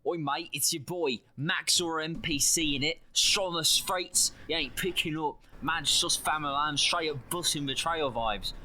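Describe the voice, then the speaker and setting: A man's voice: fairly high pitch, normal speed, few pauses, sharp tone, slight background noise. A man voicing a cocky young British game NPC who spouts exaggerated slang in a comic voicemail. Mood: cocky, brash. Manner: brash, slang-heavy, exaggerated. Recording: background clatter of dishes and water.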